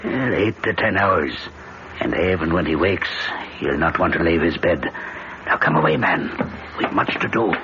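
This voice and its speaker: A sly Irish innkeeper in a vintage radio play. His voice sits fairly low, folksy and lilting but used to giving orders. The recording is dull, with a faint hiss. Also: lively intonation, normal speed. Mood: hushed, commanding. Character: sly, bossy Irish innkeeper and gun-running ringleader. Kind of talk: old-time radio drama acting. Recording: muffled old radio drama, hissy.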